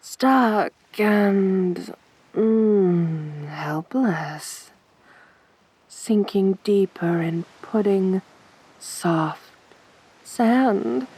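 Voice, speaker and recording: A woman performing an ASMR roleplay as a sadistic, teasing winged siren, speaking softly and breathily with taunting, coaxing mock sympathy. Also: medium pitch, expressive, extremely slow, pauses often, heavily acted. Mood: gloating, cruel. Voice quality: soft, breathy.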